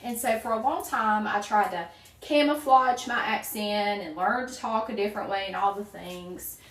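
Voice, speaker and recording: A woman's voice: medium pitch, moderate pace, roomy sound, recorded from a distance. A woman in her forties from a small town in Virginia, with a Southern accent, chatting casually with livestream viewers while crafting and greeting them by name. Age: 40s. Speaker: wreath-making livestream host.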